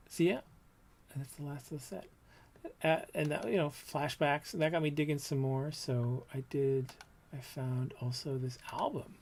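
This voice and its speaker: A man in his fifties with a low, soft voice, reminiscing casually on a livestream about his old art, with many ums and trailing thoughts. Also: gravelly, breathy edge. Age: 50s. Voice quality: low, soft voice that doesn't project. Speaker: comics artist showing his old work.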